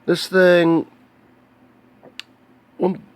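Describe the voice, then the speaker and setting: An American man talking casually and off the cuff as he shows electronics, explaining in a halting, plain-spoken way. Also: high voice, flat delivery, darkish tone, smooth, dry recording. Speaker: hobbyist video maker showing electronics. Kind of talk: casual unscripted show-and-tell. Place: indoors, cluttered home workshop.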